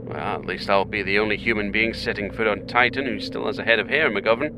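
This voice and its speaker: A man playing a calm, dryly humorous British astronaut captain in a sci-fi audio drama, reporting in clipped, formal phrases. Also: brisk, close to an everyday voice. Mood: dryly wry. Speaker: mission captain. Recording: noisy craft rumble behind.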